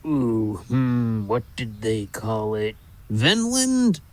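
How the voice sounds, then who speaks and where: A man's voice: on the low side, lively intonation, drawn-out words, a little mellow. A man voicing a boastful, grumpy giant talking squirrel in an audio drama, with a blustering storyteller's manner. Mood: boastful, storytelling. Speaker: voice actor. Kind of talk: audio drama character acting.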